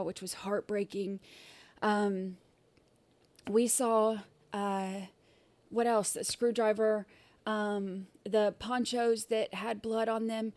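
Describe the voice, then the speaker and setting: A woman hosting a casual livestream, her throat scratchy and irritated, clearing it often and rambling with many fillers and restarts. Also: medium pitch, mellow, no echo. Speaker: livestream host.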